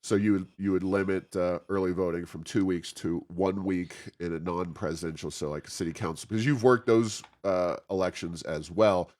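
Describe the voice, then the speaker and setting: A man's voice: low-pitched, steady tone, moderate pace, few pauses, darkish tone. A man hosting an informal interview, feeling his way through questions with hesitant, roundabout phrasing. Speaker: interview host.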